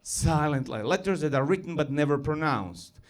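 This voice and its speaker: A man with a Serbo-Croatian accent doing a self-deprecating stand-up set on stage, building to yells. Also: fairly high pitch, animated, normal speed. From former Yugoslavia. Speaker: stand-up comedian.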